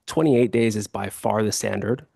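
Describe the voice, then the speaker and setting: A hoarse man, the city attorney, walks the council through a staff report, stopping to restart sentences and fill pauses. His voice is gravelly and creaky, and the recording is close and dry, with little room sound. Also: fairly low pitch, mellow, dry recording. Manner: explanatory, with frequent fillers and restarts.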